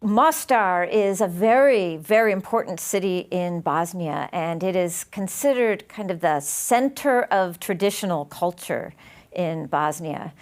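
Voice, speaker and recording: A woman's voice: lowish voice, measured pace. A woman interviewer explaining a topic before asking about it.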